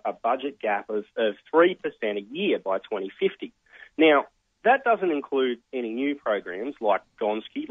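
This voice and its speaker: An Australian man giving measured, analytical explanations as an expert guest in a radio interview. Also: medium pitch, breathy edge, no room sound. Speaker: think tank research fellow, interview guest. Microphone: telephone. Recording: tinny narrow phone line.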